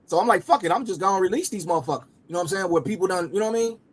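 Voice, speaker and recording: High-voiced rambling man. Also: animated, rapid-fire, breathy edge. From Cincinnati area. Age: 40s. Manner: loose, rambling, repeats himself often. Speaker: rapper and host. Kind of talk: casual chat.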